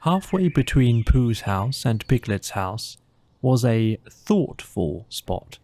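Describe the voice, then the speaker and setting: A man narrating a children's bedtime story from a script in a measured, gentle way. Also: medium pitch, sing-song, dry recording. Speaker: audiobook narrator.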